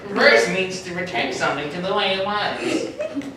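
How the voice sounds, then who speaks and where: A woman's voice: mid-range voice, big pitch swings, normal speed, lightly acted. A woman playing an eager, pedantic eight-year-old superhero kid in a comedy script. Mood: earnest, precise. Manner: eager, literal-minded. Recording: echoey room, far from mic, clear noise.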